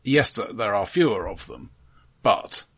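A man co-hosting a film podcast, giving casual criticism in a measured, analytical way and qualifying his points as he goes. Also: fairly low pitch, animated, fairly bright tone, breathy edge.

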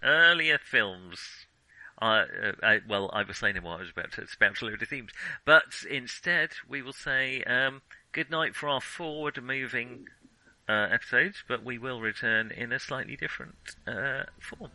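A man's voice: mid-range voice, normal speed, sharp tone, no room sound, rough recording. A man co-hosting a film podcast, chatting informally and giving his opinions. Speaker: podcast co-host. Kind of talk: casual chat, giving opinions on films.

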